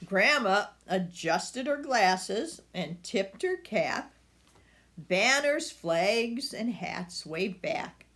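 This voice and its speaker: A woman's voice: on the high side, a bit bright. An older woman reading a children's story aloud, with asides to her young listeners. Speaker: storybook reader.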